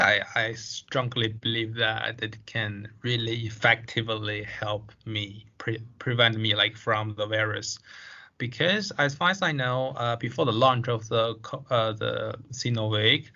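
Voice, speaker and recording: A man's voice: medium pitch, steady tone, moderate pace, sharp tone. A man in his twenties answering interview questions, hesitant and full of fillers. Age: 20s. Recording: dull lo-fi sound.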